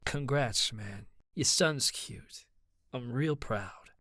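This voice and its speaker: A man's voice: mid-range voice, normal speed, a bit bright, dry recording, studio quality, lightly acted. Man voicing a loyal, teasing tough guy. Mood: low, supportive. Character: supportive, teasing young tough guy, loyal best friend.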